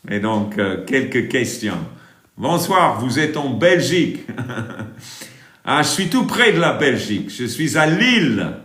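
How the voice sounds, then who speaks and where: Man, British accent, preacher, slow sung hymns, bright voice, echoey room. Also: mid-range voice, sing-song. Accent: British English. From England. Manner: drawn-out sung hymns, brisk chatty asides to viewers. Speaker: preacher and worship leader. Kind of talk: livestream worship singing and chatting with viewers.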